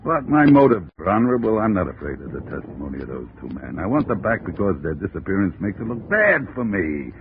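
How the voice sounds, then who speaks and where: An actor playing a smooth, menacing gangster boss who talks slowly and chuckles mockingly, in 1940s radio drama style. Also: male voice, low-pitched, very animated. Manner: slow, oily, mocking chuckles. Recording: tinny scratchy old radio broadcast.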